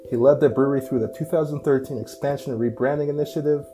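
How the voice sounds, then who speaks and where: A man hosting a business podcast, giving a lively promotional intro and asking his guest questions. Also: mid-range voice. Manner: upbeat, promotional delivery. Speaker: podcast host. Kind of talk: casual podcast intro and interview.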